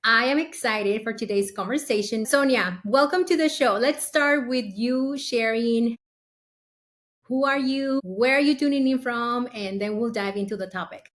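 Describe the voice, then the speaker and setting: A woman's voice: fairly high pitch, normal speed, a bit bright. A woman hosting a podcast interview, upbeat and enthusiastic as she asks questions. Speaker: podcast host.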